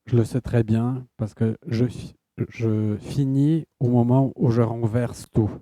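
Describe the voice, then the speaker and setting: A man with a Polish accent, an author answering audience questions in a halting way, often stopping to search for words. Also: medium pitch, steady tone, dark tone, no echo. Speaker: guest author.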